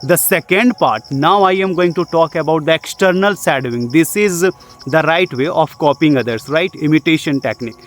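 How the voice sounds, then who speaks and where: A young man with an Indian accent teaches spoken English in a casual, earnest way. His voice sits a little high for a man, and he keeps checking in with his listeners, pausing on filler sounds as he goes. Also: faint music behind. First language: Jharkhandi language. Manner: earnest, repetitive, frequent "okay?" check-ins and "uh" fillers.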